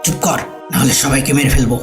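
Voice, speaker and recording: A man playing a gruff, impatient, bullying character in a cartoon dub, on an echoing track. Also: medium pitch, steady tone, fast talker, over music, close to an everyday voice. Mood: menacing. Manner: brusque, impatient. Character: gruff men: menacing robber and dismissive husband. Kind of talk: dubbed religious cartoon acting.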